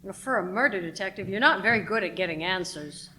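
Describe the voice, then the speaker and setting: An actress playing an imperious, indignant radio station boss in a radio play, haughty and combative. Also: female voice, mid-range voice, animated, fast talker, bright, forceful tone. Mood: curt, defensive. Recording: roomy, with faint background noise.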